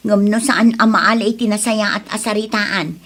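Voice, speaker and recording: A woman's voice: medium pitch, fairly even intonation, normal speed, a bit bright, close to an everyday voice. A woman acts as an earnest young daughter with an Ilocano accent. She sounds plaintive and wronged yet stays polite, in a radio-drama performance. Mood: aggrieved, plaintive.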